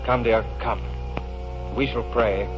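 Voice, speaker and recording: An actor playing an earnest, pious missionary with a formal, halting manner in a 1950s radio drama. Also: male voice, mid-range voice, fairly even intonation, loud music behind the voice, natural voice. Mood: solemn, resolute. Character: pious, earnest male missionary, solemn brother. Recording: dull, noisy 1950s radio recording.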